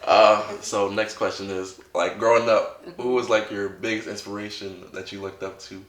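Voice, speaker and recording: A man's voice: fairly low pitch, flat delivery, normal speed, reverberant. A young man asking his mother questions in a casual family chat, circling around his points with lots of "like". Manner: rambling, filler-heavy questions.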